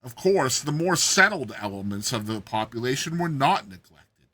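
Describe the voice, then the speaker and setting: A man's voice: mid-range voice, sing-song, normal speed, pushed and bright. A man narrating a short story as a dramatized audiobook reading, with theatrical, emphatic delivery.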